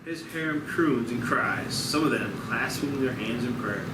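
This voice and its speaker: A man's voice: mid-range voice, normal speed, a crowd in the background. A man reading scripted stage directions as narrator at a table read, recorded at a distance in an echoey, noisy room. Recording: echoey room, distant mic, loud noise.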